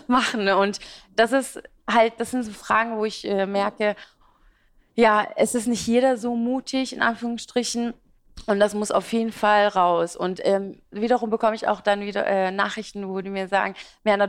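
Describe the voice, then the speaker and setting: A woman's voice: medium pitch, clean tone. A woman in her twenties, born in Iraq with Aramaic as her first language, answering interview questions as a blogger in a talkative, rambling way with fillers. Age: 20s. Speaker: beauty and fashion blogger, guest.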